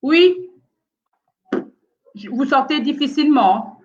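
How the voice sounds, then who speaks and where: A woman from France with Congolese roots hosts a casual live stream from her home. Her voice sits a little high, and she talks haltingly, with many fillers, as she works through sound problems with her callers. The audio is dull and lo-fi. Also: lively intonation. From France, of Congolese origin.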